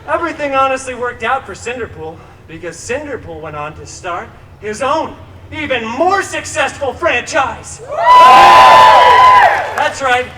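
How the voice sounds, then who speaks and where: Flippant antihero, very high male voice, theatrical comic storytelling, campy asides, echoey, far from mic, background music. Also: very noisy. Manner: flippant, campy, mock-dramatic asides to the audience. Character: cheeky, theatrical male antihero telling his own fairy tale. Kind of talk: live comic storytelling show. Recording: echoey theme park PA, distant, music behind.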